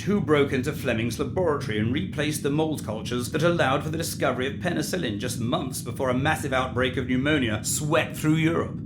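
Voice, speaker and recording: An actor playing a stern, proud military commander in an audio drama, speaking formally and oratorically. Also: male voice, medium pitch, noisy background, close to an everyday voice. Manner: formal, oratorical, forceful rhetorical questions.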